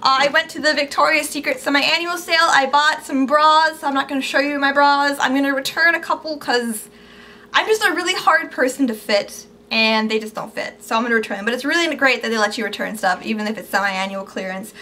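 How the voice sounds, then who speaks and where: A young woman chatting casually and gushing over her purchases in a haul video, her voice a little squeaky from overuse. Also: brisk, bright, forceful tone. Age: young adult. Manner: chatty, upbeat, gushing about products. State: losing her voice, slightly squeaky. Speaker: beauty vlogger. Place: small indoor room at home.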